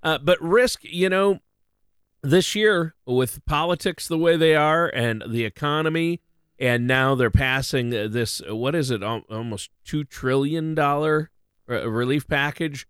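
A man's voice: a little high, a little slow, fairly bright tone, smooth, no echo, clean studio sound. A man hosting a financial radio show in a conversational style.

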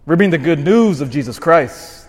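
Animated priest preaching in a reverberant church. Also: male voice, on the high side, normal speed. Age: 40s. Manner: animated, swings from shouted emphasis to quiet asides. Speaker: parish priest. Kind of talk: sermon.

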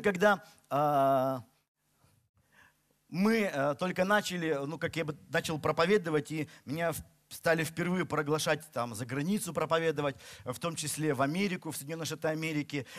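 High-voiced Russian preacher, emphatic. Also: male voice. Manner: emphatic, rising and falling delivery. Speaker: pastor. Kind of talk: sermon.

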